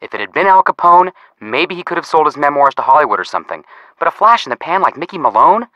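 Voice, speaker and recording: A man's voice: a little high, very animated, fast talker, dry recording, dull audio. A man voicing an easygoing, joking young amateur detective in a video game, with a casual delivery. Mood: wry, joking. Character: wisecracking, easygoing teenage brother and amateur detective.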